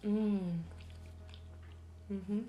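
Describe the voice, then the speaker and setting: A woman's voice: mid-range voice, little pitch movement, extremely slow, reverberant, muffled recording. A young woman from Nigeria chatting casually while she eats, with plenty of ums. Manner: chatty, eating between lines, many ums. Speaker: food vlogger. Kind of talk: casual mukbang chat.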